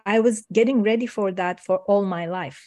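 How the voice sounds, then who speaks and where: A middle-aged woman from Turkey, a native Turkish speaker, talking casually as an interview guest in a soft, unhurried way with many fillers. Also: mid-range voice. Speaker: guest, meditation coach.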